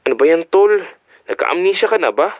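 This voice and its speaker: A man's voice: high voice, animated, fairly quick, no room sound, highs cut off. A man voice-acting a hesitant, apologetic young friend on a call in a Filipino audio drama. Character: sheepish, apologetic young male friend on phone.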